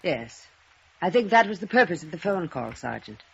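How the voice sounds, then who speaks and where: A refined, anxious lady of middle age in vintage radio melodrama. Her voice is husky and breathy, with hesitant phrasing. The old recording is dull and muffled. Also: on the low side, very animated, moderate pace, exaggerated voice. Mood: frightened. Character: anxious, refined, mature woman with a hidden past. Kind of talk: 1950s radio melodrama acting.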